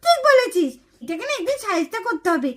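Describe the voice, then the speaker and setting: Grumbling cartoon kid, done by a woman, very high, bright, vengeful. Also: very animated, fast talker, full character voice. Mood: resentful. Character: resentful gossiping children siding with their big sister. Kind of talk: Bengali puppet-show cartoon acting.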